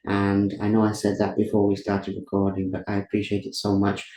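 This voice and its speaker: A man's voice: fairly low pitch, monotone, fairly quick, mellow. A man with a Welsh accent hosting a podcast interview, effusive and grateful in his introductions and questions. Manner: effusive and grateful, thanking at length. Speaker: podcast host. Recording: echoey room with faint hiss.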